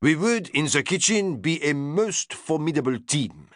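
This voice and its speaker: A proud, rotund French chef with a thick French accent, as a male narrator puts him on. He speaks with theatrical indignation and a lifted, showy pitch that rises and falls a lot, recorded dry and close. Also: very animated, a little slow, no room sound.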